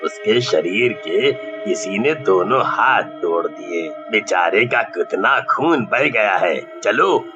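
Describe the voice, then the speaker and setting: A man's voice: fairly low pitch, animated, takes it slowly, few pauses. An actor playing a concerned, earnest village man in a cartoon horror story. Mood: alarmed concern. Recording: dull lo-fi track with faint music.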